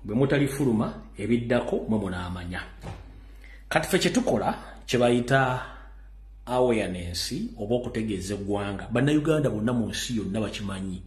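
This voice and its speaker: A man's voice: fairly low pitch, animated, a little fast, reverberant. A Ugandan man giving urgent political commentary, warning listeners and mixing English into his talk.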